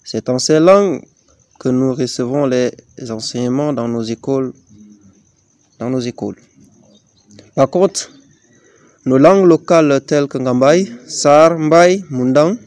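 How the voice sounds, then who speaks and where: A young man from Chad, a high school student presenting his assignment, hesitant with fillers. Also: measured pace, pauses often, a little mellow, no echo.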